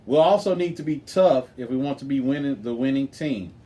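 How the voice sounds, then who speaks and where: A man's voice: mid-range voice, brisk, dark tone, natural voice. A man reading the part of a confident, businesslike teenage girl team leader in a storybook. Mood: brisk, prompting.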